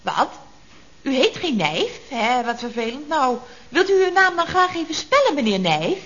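An actress playing a chatty, nosy, cheerful telephonist in an old radio comedy, with teasing, exaggerated intonation. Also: female voice, high voice, big pitch swings, normal speed, a bit bright, exaggerated voice. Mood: surprised, puzzled. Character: chatty, nosy, cheerful female office telephonist at builder's firm. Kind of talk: 1970s radio comedy acting. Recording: dull vintage radio recording.

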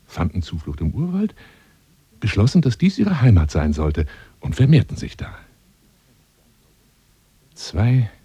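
An actor playing a lonely, self-dramatizing hunter in a radio drama monologue, wooing a woman in a courtly, theatrical, husky voice that drifts into whispers. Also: fairly low pitch, dark tone, dry recording, heavily acted. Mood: boastful, grand. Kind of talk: radio drama monologue as one side of a phone call.

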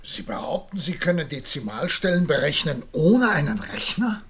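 An actor playing an astonished, doubting president with a husky, breathy voice in a German radio play. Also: male voice, on the high side, very animated, normal speed, fairly bright tone, exaggerated voice. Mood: incredulous. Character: astonished, doubting male president, easily amazed.

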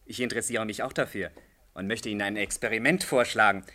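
A man's voice: on the low side, quick pace, bright, forceful tone. An actor playing a restless, irritable young male student in a German radio play, brusque and moody. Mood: bored, dismissive.

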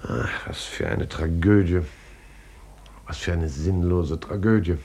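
A solemn, husky colleague. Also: male voice, low voice, a little slow, warm tone, full character voice. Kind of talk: 1950s radio drama acting.

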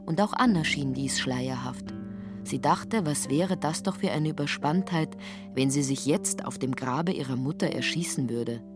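A woman's voice: very deep voice, a little slow. A woman narrating a literary radio play, telling the story in a wry, matter-of-fact way. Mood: wry narration. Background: guitar music under the voice.

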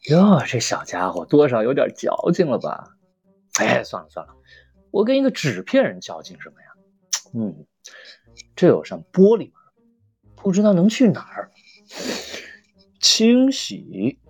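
A woman's voice: sits low, wildly swooping pitch, a little slow, long pauses, darkish tone, slightly rough, dry recording, highs cut off, soft background music, pitched below the performer's own voice, full character voice. A woman playing a playful, easily amused gamer in an audio drama, musing aloud and teasing. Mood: wry. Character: playful, easily amused mobile game player.

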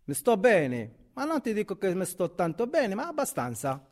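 A man from Morocco, a native Arabic speaker with a Moroccan accent, telling his own migration story in simple, halting, heartfelt words. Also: sits high, wildly swooping pitch. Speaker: migrant giving testimony. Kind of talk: personal storytelling.

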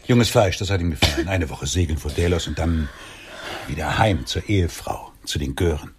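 A man's voice: extremely low pitch, lively intonation, moderate pace, a little hiss or hum. A man acting in a radio drama as an aging, lecherous swindler, blunt, wry and world-weary. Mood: bitter, frank. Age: older. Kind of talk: intimate radio drama dialogue.

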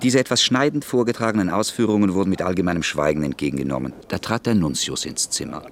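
A male narrator with a very low-pitched voice reads scripted literary prose for a radio drama. His delivery is that of a storyteller setting scenes between the dialogue. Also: quick pace, slight background noise.

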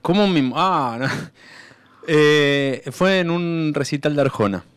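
A man's voice: on the high side, measured pace, fairly bright tone, smooth, no echo. A man playing an eager, rambling martyred man in a comedic radio sketch, hesitant and stammering as he claims his reward. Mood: eager, explaining.

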